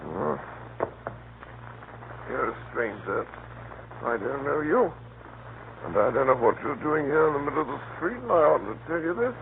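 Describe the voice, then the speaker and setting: An actor playing a nosy, excitable male neighbour in 1930s radio style, rambling and repeating himself conspiratorially. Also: medium pitch, moderate pace, vocal fry, long pauses. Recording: scratchy narrow-band 1930s radio recording.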